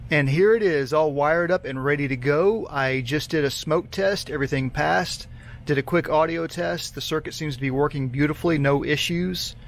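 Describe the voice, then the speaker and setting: A man's voice: mid-range voice, creaky, a bit bright, no room sound, clean studio sound. A man giving an informal, explanatory how-to narration, picked up on a camera's built-in microphone. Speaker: hobby electronics builder and video host.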